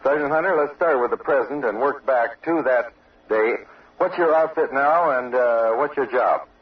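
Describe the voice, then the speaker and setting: A man interviews on location as a radio news reporter. His pitch is average for a man. The old field recording is dull and slightly distant from the microphone. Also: normal speed. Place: outdoor observation post.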